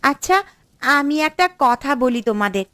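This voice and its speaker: A woman's voice: high-pitched, lively intonation, normal speed, pushed and bright, no echo. A woman playing an agreeable, eager young village sister in a cartoon, deferential to her elder. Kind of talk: Bengali cartoon story acting.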